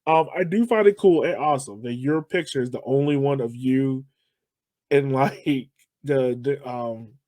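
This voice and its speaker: A man's voice: mid-range voice, measured pace, darkish tone, no echo. A man hosting a podcast in an informal, conversational way. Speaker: podcast host. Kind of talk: casual interview chat.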